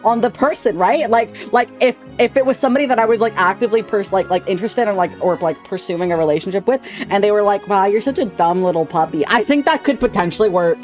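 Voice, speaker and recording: A man in his twenties hosting a stream, chatting casually, animated, teasing and quick to laugh. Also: high voice, soft background music. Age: 20s. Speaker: streamer host.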